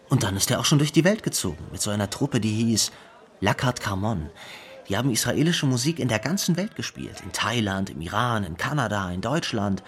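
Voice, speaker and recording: An actor playing a singer's proud younger brother in a radio drama, telling memories in a plain, conversational way. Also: male voice, lowish voice.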